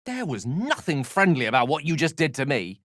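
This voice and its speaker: British wizard, fantasy game, eloquent, wry, courteous, theatrical, animated intonation, dry studio sound. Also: male voice, fairly high pitch, normal speed, no room sound. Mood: indignant. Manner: articulate, erudite, theatrical phrasing. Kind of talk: dramatic fantasy game dialogue.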